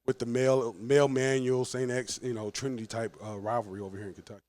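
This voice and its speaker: A man's voice: mid-range voice, fast talker. A man in his forties, a head football coach, telling stories with frequent laughs in a casual podcast interview. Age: 40s. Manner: animated storyteller, laughs often.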